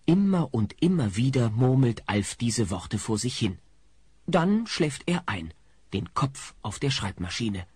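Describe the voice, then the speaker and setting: A man's voice: medium pitch, very animated, measured pace, a little mellow, husky, no room sound. A man narrating a scripted audio drama as its storyteller. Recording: faintly noisy cassette recording.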